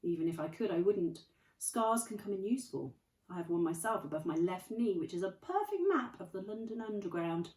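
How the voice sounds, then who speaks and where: A woman reading a storybook aloud, voicing a calm, wise old wizard with an unhurried, gentle manner. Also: mid-range voice, far from the mic, natural voice. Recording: roomy, from off the mic.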